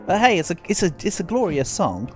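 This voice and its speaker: A man's voice: high-pitched, very animated, brisk, faint music behind. A man streaming a video game, chatting casually and rambling, sounding a bit congested with a cold coming on. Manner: chatty, rambling, self-deprecating. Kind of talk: casual game livestream chat.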